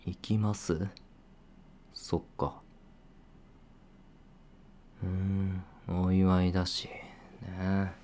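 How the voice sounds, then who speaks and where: A playful senior coworker, voiced by a young man, needles his junior in a casual, teasing way. His voice sits very low, and he takes his time over each line. It is a voice drama performance with quiet, hushed asides. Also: monotone, drawn-out words, long pauses, a little hiss or hum. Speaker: voice actor. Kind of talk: situation voice drama.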